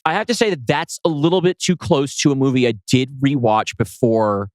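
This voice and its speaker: A man chats casually as a podcast co-host. His voice sits at a middle pitch with a dry, close sound. He talks informally, wandering through his thoughts as he goes. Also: normal speed, dry recording. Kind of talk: casual chat.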